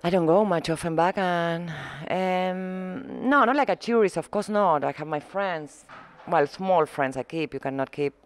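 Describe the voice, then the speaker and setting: A middle-aged woman from Argentina, speaking English as an in a halting, searching way as an interview guest. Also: fairly low pitch. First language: Spanish. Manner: halting, searching for words, frequent restarts. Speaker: muralist guest. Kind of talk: casual interview conversation.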